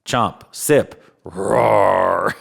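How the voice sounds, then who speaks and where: A man's voice: sits low, very slow, creaky, breathy edge, voice pushed down, heavily acted. A man voicing a stubborn, boastful little dinosaur who roars again and again in a children's picture book. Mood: roaring, triumphant. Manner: roaring loudly and repeatedly.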